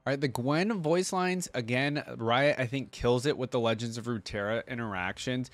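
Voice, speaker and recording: Casual man reacting, mid-pitched voice. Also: moderate pace, dry recording. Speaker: reaction video host.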